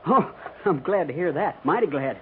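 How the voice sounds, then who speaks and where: Man acting a high-voiced, folksy frontier army man. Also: lively intonation, moderate pace, close to an everyday voice. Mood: wary. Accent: rural American. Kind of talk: 1950s radio western acting. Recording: noisy, tinny 1950s radio recording.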